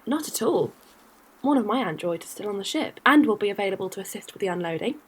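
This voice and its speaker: A woman's voice: mid-range voice, expressive, fast talker, breathy edge. A woman playing a friendly, practical alien courier in a science-fiction audio drama, easygoing and matter-of-fact. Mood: polite, businesslike.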